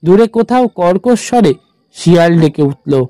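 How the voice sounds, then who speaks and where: A man narrating a scripted horror story in a measured, suspenseful manner. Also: sits high, expressive, mellow, no echo.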